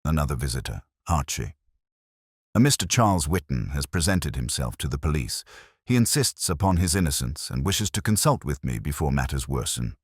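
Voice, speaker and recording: A man's voice: extremely low pitch, sing-song, moderate pace, husky, no echo, clean studio sound, heavily acted. A man voicing a large, imperious older detective in an audiobook, speaking formally and tersely. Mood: dry, suspicious. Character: large, brilliant, imperious older male detective, grumpy and deliberate.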